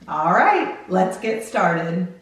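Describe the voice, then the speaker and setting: Slow-talking woman in a very echoey room. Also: on the low side, sing-song. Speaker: children's read-aloud show host. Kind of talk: playful chat addressed to young children. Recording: very echoey room, distant from mic.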